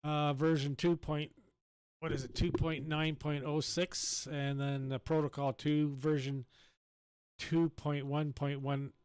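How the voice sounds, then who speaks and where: Male ham radio operator, clear resonant voice, dry close sound, rambling casual delivery. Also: a little high, little pitch movement, takes it slowly, darkish tone, dry recording.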